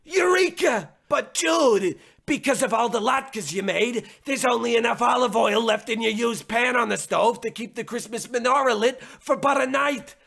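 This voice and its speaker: A man voicing a naive, eager sidekick in a radio comedy. The voice is high with a heavy creak, and every line comes out earnest and melodramatic. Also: moderate pace, few pauses, bright, forceful tone, full character voice. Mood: earnest, worried. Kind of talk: scripted old-time radio parody acting.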